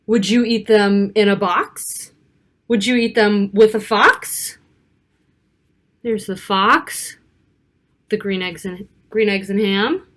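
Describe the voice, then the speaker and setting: A woman reading a rhyming children's picture book aloud in an expressive, rhythmic way. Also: mid-range voice, slow, pauses often, a little hiss or hum. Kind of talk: animated children's story read-aloud.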